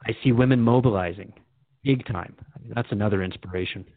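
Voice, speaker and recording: A man's voice: lowish voice, quick pace, dry recording, narrow, tinny audio. A man hosting a radio dialogue, speaking in an earnest, reflective and questioning way, like an interviewer in a scripted exchange. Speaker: radio host.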